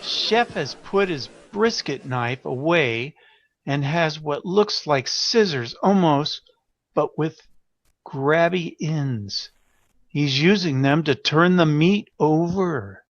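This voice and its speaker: A man's voice: a little high, lively intonation, slow, no echo, dull audio. A man playing a flustered, self-important and oblivious interviewer in a comic audio drama, fussy and earnest in delivery. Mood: fussy, indignant.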